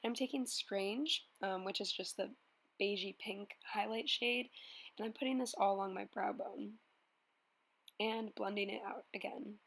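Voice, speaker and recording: A young woman with a slightly hoarse voice, narrating a makeup tutorial in a relaxed, chatty way. She fills her pauses with ums as she talks through each step. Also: mid-range voice. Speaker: beauty vlogger.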